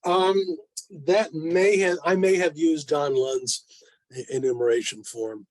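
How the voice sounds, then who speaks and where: A man's voice: a little high, fairly even intonation, a little slow, a little mellow, no echo, rough recording. An older man in his seventies presenting informally to a club on a video call, with a wry, self-deprecating manner. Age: 70s. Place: online video meeting.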